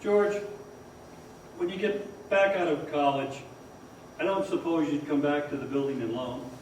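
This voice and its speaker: An actor playing a tired, kindly older father in a radio drama on stage, gentle, measured and plain-spoken. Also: a little high, steady tone, lightly acted. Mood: weary. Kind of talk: old-time radio drama acting on stage. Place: performance hall, far from the microphone. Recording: very echoey, distant stage recording, faint noise.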